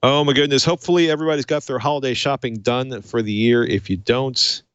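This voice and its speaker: A man's voice: mid-range voice, fairly even intonation, fast, a bit bright, clean tone, no echo, lo-fi sound. A man hosting a casual tech show, chatty and conversational, with wry asides. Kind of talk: casual tech podcast chat.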